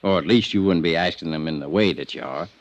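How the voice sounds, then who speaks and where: A man's voice: deep voice, fast talker, no echo, natural voice. An American man acting a folksy small-town sheriff, stubbornly protective and plain-spoken, in an old-time radio drama with a dull vintage sound. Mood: defensive.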